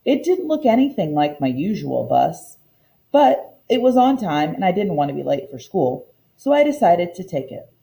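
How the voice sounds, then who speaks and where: A woman teacher giving an expressive storybook read-aloud lesson in an instructive, deliberate manner. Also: fairly low pitch, gravelly.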